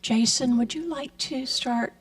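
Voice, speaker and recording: A woman making gentle announcements and blessings during a church service. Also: high voice. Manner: slow, gentle, blessing-style delivery. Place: church sanctuary. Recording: voice picked up from a distance.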